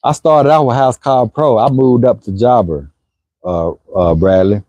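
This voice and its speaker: A man's voice: mid-range voice, a little slow, mellow, dry recording, highs cut off. A man in his forties running an HVAC business, chatting casually on a livestream and answering viewers in a laid-back way. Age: 40s. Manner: laid-back, conversational, frequent "you know what I'm saying". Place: Houston area.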